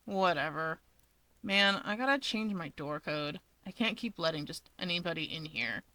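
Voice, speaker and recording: A woman's voice: lowish voice, animated, moderate pace, pushed and bright. A woman voicing a sardonic, stubborn young male villain with a dry, grumbling drawl in a story.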